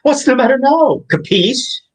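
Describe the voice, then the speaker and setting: An actor playing young prince Hamlet as a tough mobster who shouts his lines, on a theater stage. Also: sits high, expressive, extremely slow, husky, heavily acted. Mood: menacing. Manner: shouting, tags lines with "capiche". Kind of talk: comic stage acting.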